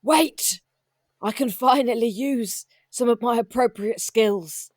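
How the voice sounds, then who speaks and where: A woman narrates her part in an improvised comedy roleplay. She speaks slowly in a fairly high voice, recorded very dry. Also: darkish tone, dry recording. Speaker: player in a roleplay podcast.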